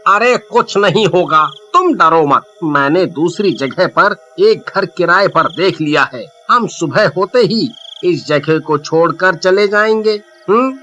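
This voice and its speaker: A man voicing a bold, dismissive village husband in an animated horror story, scoffing and exasperated. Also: high voice, a little slow, few pauses, dull audio, faint music behind, full character voice.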